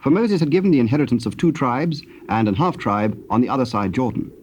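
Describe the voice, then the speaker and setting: British male narrator, mid-pitched, formal and measured scripture reading on slightly noisy old tape. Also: lively intonation, darkish tone.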